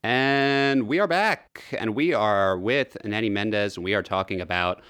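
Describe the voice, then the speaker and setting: A man co-hosting a casual podcast, laughing often and chiming in with quick replies. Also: fairly low pitch, very noisy. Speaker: podcast co-host.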